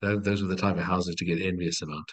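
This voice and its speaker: A middle-aged man from South Africa with a low, husky voice speaks as a life coach in conversation. He talks in an earnest, encouraging way and leans on repeated phrases. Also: steady tone, fast. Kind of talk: reflective storytelling in conversation.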